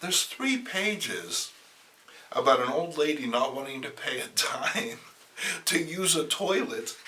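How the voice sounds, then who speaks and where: A man's voice: fairly high pitch, big pitch swings, slow pace, bright, forceful tone. A man giving a playful, theatrical dramatic reading of a comic book, with wry asides. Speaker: amateur comic book reader.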